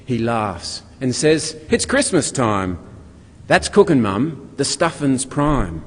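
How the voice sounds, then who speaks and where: Aussie guy reciting a poem real slow, lots of up and down in his voice, big echoey hall. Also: mid-range voice, very animated. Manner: measured, storytelling delivery. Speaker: guest reader at a carols concert. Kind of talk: expressive poetry recitation. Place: large hall, live event. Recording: echoey large hall with long reverb.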